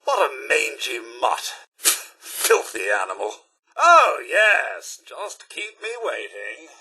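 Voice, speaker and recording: An actor playing a polite, fussy, sardonic robot butler in a video game, with a British accent and a prim, theatrical, dryly sarcastic manner. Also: male voice, medium pitch, huge pitch swings, takes it slowly, sharp tone, breathy edge, heavily acted, poor audio. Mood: withering, sarcastic. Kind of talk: scripted game companion dialogue.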